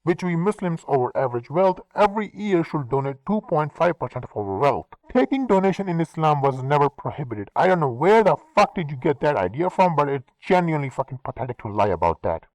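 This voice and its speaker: A Pakistani man rants in a heated, sarcastic commentary, swearing as he goes. His voice sits fairly high and swings widely in pitch. The sound is close and dry. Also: sing-song, brisk, hardly any pauses, a little mellow, dry recording, poor audio. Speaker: commentary channel host. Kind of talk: angry response commentary.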